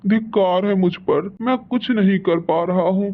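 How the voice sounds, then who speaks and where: A man's voice: high voice, moderate pace, phone-quality sound, natural voice. A man voicing a weary, humble, debt-ridden cartoon father bird who speaks in an earnest, pleading way. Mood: despairing. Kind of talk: Hindi cartoon voice acting.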